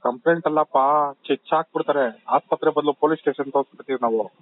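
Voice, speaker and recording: A man's voice: a little high, quick pace, dry recording, natural voice. A man with a Karnataka accent playing an insistent, unruffled hospital doctor in an improvised prank phone call. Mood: pressing. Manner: insistent, talks over objections. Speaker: prank caller. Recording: tinny narrowband phone line.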